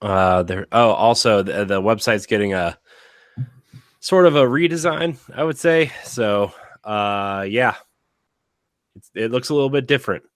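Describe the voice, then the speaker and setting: A man with a very low voice hosts a casual podcast, a little raspy from a week of sickness. He rambles along with lots of fillers and restarts. The sound is close and dry. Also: animated, a little slow, no room sound. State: slightly raspy from being sick. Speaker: podcast host. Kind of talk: casual chat.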